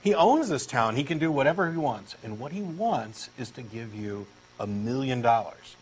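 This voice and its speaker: Brief: Texan man, earnest and pushy, bouncy fairly high delivery, faint hiss behind. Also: animated, moderate pace, low-quality recording. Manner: eager, insistent true believer, earnest and pushy. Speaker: co-host doing a comic dramatic reading. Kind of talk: comic scripted dialogue reading.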